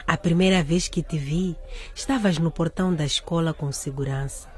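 Husky, excitable teenage schoolgirl. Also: low voice, moderate pace. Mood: tender reading aloud. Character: teenage schoolgirl, excitable romantic best friend. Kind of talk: radio drama acting.

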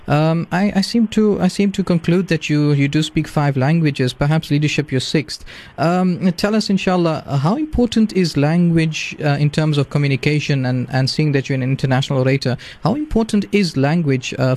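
A man's voice: fairly high pitch, a little mellow. A man with a South African accent, hosting a radio interview in a courteous, formal way. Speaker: radio host.

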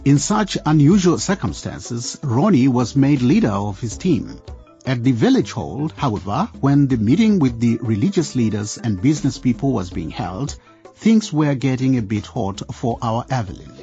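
A man's voice: mid-range voice, sing-song, moderate pace, mellow. A man narrating a scripted radio drama as its storyteller. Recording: dull lo-fi sound with music behind.

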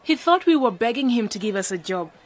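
A spirited young woman in a radio drama, rather high in pitch. She speaks with indignation as a cooperative partner. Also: sing-song, a little fast. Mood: indignant. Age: young adult.

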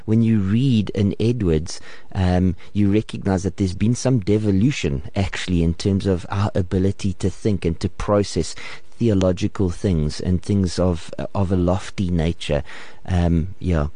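A man's voice: low voice, steady tone, unhurried, dark tone, no echo. A man hosting a panel discussion, often stammering and repeating words as he builds his questions. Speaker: host.

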